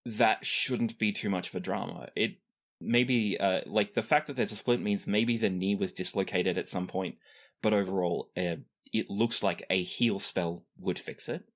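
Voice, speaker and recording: A man's voice: sits low, moderate pace. A man acting as game master, improvising descriptive narration for a tabletop game with casual asides.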